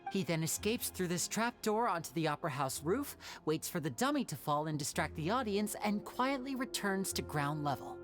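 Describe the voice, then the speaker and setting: A woman playing a composed young stage magician in a video game, explaining in a measured, theatrical way. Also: on the low side, very animated, faint music behind.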